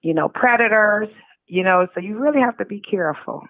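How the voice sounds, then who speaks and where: A woman's voice: mid-range voice, brisk, no echo. A middle-aged woman, a guest author, answering interview questions conversationally over a phone-like connection. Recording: thin, narrow phone-like line.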